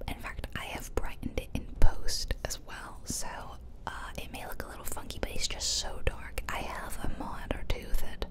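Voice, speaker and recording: A woman whispering softly and close to the mic, giving playful, self-deprecating, chatty commentary on a video game as an ASMR host. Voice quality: soft unvoiced whisper. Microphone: close ear-to-ear ASMR microphone.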